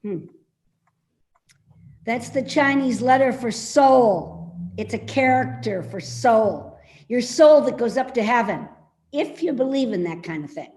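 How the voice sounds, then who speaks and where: Woman acting a fussy, high-voiced critical mother. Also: expressive, unhurried, darkish tone. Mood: pedantic. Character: critical, fussy older mother, suspicious and contrary. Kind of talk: naturalistic readers theater acting.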